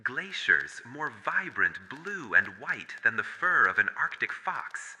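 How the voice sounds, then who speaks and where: A man's voice: medium pitch, sing-song, slow, sharp tone, exaggerated voice. A man voicing a traumatised furry cult survivor in an audio horror drama, speaking in a solemn, confessional way. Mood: wistful, subdued. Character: traumatised male furry cult survivor with nature powers, haunted. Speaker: voice actor. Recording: long hall-like reverb.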